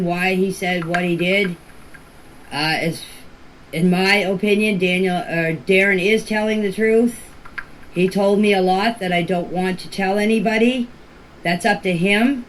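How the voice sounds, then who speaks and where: Plain-spoken woman, noisy voice message. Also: lowish voice. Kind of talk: casual spoken voice messages to a group chat. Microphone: voice clips recorded for a group chat. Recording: noisy amateur voice-message recording.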